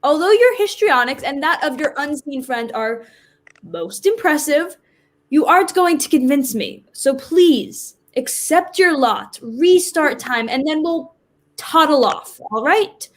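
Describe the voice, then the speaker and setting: A woman's voice: high-pitched, lively intonation, measured pace. A woman in a staged play reading, playing a formal, businesslike Death who politely collects a dying soul. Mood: prim, insistent.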